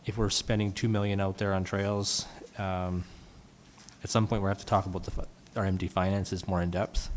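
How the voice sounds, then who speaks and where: A man's voice: sits low, flat delivery, fairly quick. A man speaking as a councillor in a meeting debate, hesitant and often restarting his phrases. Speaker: municipal councillor. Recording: reverberant meeting room, faint noise.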